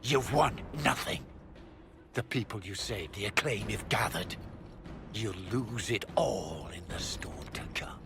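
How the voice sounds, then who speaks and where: An actor playing a bitter, defeated former mage lord in a fantasy game, grave and resigned. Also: male voice, on the low side, measured pace, creaky, background noise. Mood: bitter, ominous. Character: bitter, defeated former magister, grieving father. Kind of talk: video game voice acting.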